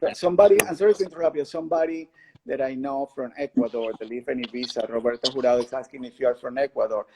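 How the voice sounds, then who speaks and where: Creaky-voiced male interviewer with background noise. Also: medium pitch, steady tone, fast. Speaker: interviewer, gallery curator. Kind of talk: casual live interview questions. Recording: clearly noisy, with clicks.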